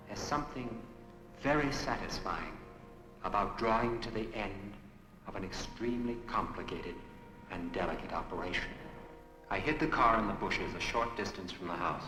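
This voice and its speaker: An actor playing a smug, scheming nephew, narrating a radio thriller in a formal, measured, self-satisfied way. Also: male voice, lowish voice, natural voice. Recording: echoey, long reverb, music underneath. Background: music.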